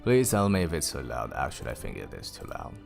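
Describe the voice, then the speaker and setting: Deep gravelly man reading aloud. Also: huge pitch swings, fast talker, faint music behind. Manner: stumbles and swears at typos, laughs; lifts pitch for quoted characters. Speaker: streamer reading a story aloud. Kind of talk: casual livestream book reading.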